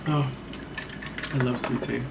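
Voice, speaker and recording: A man's voice: mid-range voice, fairly even intonation, a little slow, creaky. A man telling a personal story on his vlog in a casual, rambling way, full of fillers and restarts. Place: at home. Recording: faint far-off mic with noticeable background noise.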